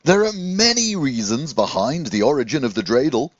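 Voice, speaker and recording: A man's voice: mid-range voice, sing-song, normal speed, no room sound, dull audio, voice pushed up. A man voicing a cheerful, welcoming rabbi in a children's storybook, teaching keenly with an encouraging manner. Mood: encouraging, explaining.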